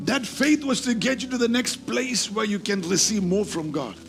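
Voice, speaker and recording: A man's voice: sits high, normal speed, vocal fry, over music. A man preaching a sermon, emphatic and repeating key phrases as he teaches from scripture. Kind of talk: sermon with scripture reading and call-and-response.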